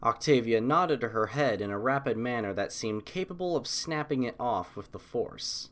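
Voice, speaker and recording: A man reads scripted fiction as the narrator of an audio story. His voice is mid-pitched, and his intonation rises and falls in a lively, expressive way. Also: normal speed.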